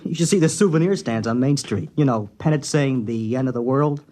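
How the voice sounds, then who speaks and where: A man's voice: medium pitch, fairly quick, mellow, lightly acted. An actor playing a chatty, wisecracking teenage boy in a 1950s radio drama. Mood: joking. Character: eager teenage boy, talkative and joking.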